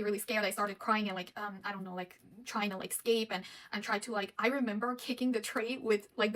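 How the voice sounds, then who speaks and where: A woman's voice: medium pitch, a bit bright, poor audio. A young woman who works as a dental hygienist, from Afghanistan, telling a personal story in a casual, rambling way with many fillers and restarts.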